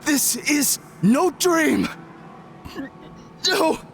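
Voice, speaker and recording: Tormented game character, high male voice, extremely slow, bitter, dramatic. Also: lively intonation, gravelly, soft background music, full character voice. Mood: bitter, defiant. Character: tormented young man trapped in a nightmare, bitter. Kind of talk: video game voice acting.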